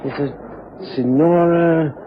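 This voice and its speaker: An actor playing an apologetic, eager ship's purser in a vintage radio drama, hesitant and deferential. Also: male voice, fairly high pitch, steady tone, slow pace. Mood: apologetic, flustered. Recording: muffled old radio broadcast with hiss.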